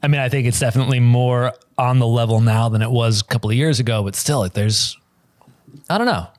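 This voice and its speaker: A man in a casual podcast chat, talking in a reflective, measured way. His voice is mid-pitched. The recording is dry and close. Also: a little mellow, no room sound. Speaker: podcast co-host.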